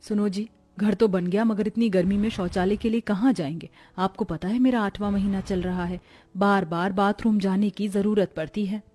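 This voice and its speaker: Woman as a husky, plaintive poor family woman. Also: mid-range voice, steady tone, moderate pace, mellow, natural voice. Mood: weary. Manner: plaintive, lamenting. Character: poor long-suffering Indian family woman, plaintive, defiant. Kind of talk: Hindi cartoon story acting.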